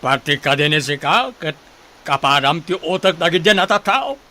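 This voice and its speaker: Bright-voiced, bossy rich senyor played by a man. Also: on the high side, lively intonation, moderate pace. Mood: irritated, scolding. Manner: bossy, scolding, exclamatory. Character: domineering, boastful rich senyor, a husband and father. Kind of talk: dramatized audio story acting. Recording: faint background noise.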